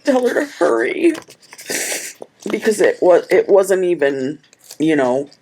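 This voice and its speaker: A woman vlogging about her planner, speaking tearfully and haltingly, repeating words, with a cold and sniffling. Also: lowish voice, very animated, warm tone, slight background noise. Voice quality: husky, gravelly. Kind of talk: tearful personal storytelling to camera.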